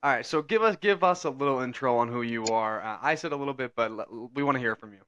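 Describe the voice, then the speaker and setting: A man's voice: mid-range voice, quick pace, fairly bright tone, muffled recording, a little hiss or hum. A young man hosting a sports podcast, asking his guest loose, rambling questions in an easygoing way. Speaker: podcast host. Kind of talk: casual interview.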